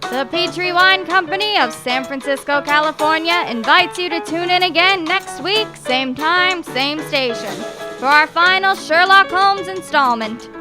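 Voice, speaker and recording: A woman's voice: very high voice, a little slow. A woman reading scripted credits as an old-time radio drama announcer. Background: music.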